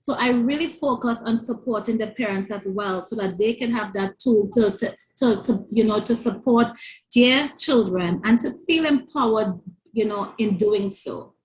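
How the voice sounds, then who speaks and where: A woman's voice: mid-range voice, moderate pace, poor audio. A woman with a stutter and a lisp, earnestly telling her story as an interview guest, often repeating words as she restarts. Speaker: author and parent coach.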